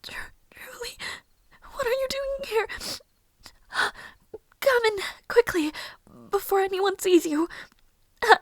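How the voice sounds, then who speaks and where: A woman plays a tender young maid in a fantasy romance roleplay. Her voice is very high and slow, soft to the edge of a whisper, in a close, dry recording. She speaks hesitantly and formally, full of anxious longing and self-doubt. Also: expressive, no room sound, heavily acted. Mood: breathless, relieved. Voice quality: soft, breathy, often near whisper. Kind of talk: intimate audio roleplay acting.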